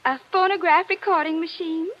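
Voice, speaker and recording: A giggly chatterbox. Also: female voice, extremely high pitch, fairly even intonation, brisk. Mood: gushing. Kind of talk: 1940s radio acting. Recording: dull old radio recording with faint hiss.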